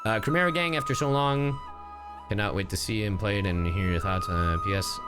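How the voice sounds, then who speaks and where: A man's voice: fairly low pitch, a little fast. A man streaming a game and chatting casually with viewers, playful and exclamatory as he reacts. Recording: game music behind the voice. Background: video game music.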